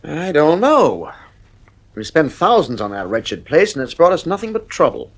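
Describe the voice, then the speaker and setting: Strained, irritable father, animated delivery. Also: on the high side, moderate pace. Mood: troubled, weary. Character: irritable, strained father, stubborn husband of a futuristic household. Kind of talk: radio drama acting.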